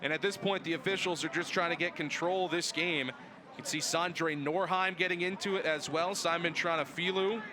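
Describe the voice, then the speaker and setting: A man's voice: on the high side, fairly even intonation, normal speed, crowd noise. A young man calling live soccer play-by-play as the announcer. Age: young adult. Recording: noisy outdoor stadium ambience behind. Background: outdoor match ambience.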